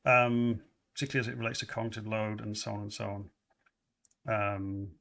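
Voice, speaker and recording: Guy hosting a live stream, bright punchy voice, rambly and full of ums. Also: fairly low pitch, fairly even intonation, fairly quick, gravelly. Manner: loose, repeats words, many fillers. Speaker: livestream host. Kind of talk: casual livestream chat.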